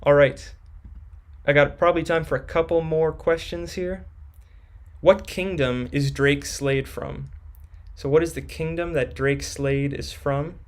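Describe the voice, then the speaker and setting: A man's voice: on the high side, fairly even intonation, a little slow. A young man hosting a live stream, reading viewers' questions from chat and answering them casually.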